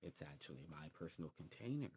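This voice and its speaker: A man's voice: rumbling low, big pitch swings, quick pace, dark tone, heavily acted. A man playing a demon snuff shopkeeper in an ASMR roleplay, explaining patiently in a hesitant, rambling way. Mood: patient, instructive. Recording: clearly noisy background.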